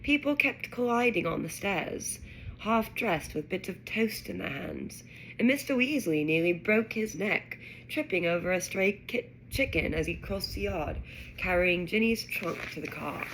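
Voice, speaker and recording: A woman putting on a British accent to read a children's storybook aloud in a measured, expressive way. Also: on the low side, a little hiss or hum, poor audio. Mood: storytelling. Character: British storybook narrator reading a wizard school tale. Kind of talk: scripted narration.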